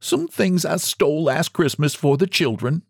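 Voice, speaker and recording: A man's voice: on the high side, moderate pace, gravelly, slightly rough, no room sound, clean studio sound, pitched above the performer's own voice. A man voicing a poor, remorseful old countrywoman who speaks in a rustic country dialect. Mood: confessing.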